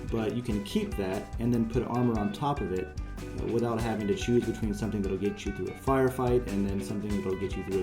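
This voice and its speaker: A man presenting his own project in casual, conversational promotional narration. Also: on the low side. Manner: informal, conversational.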